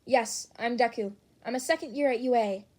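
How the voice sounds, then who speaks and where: A woman's voice: on the high side, moderate pace, darkish tone, close to an everyday voice. A woman voicing a polite, earnest teenage boy superhero in training for an audiobook. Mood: grateful. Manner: courteous, earnest.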